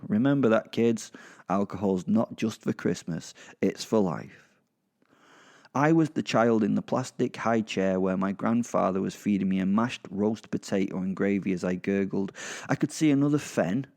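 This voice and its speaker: A man's voice: on the low side, darkish tone. A British man voicing a sardonic, vengeful, foul-mouthed werewolf who narrates a horror-comedy audio drama in a rambling, menacing way.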